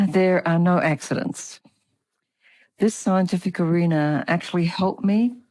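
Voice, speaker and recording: Mellow Australian woman, measured. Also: on the low side. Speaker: guest author. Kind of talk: prepared personal account.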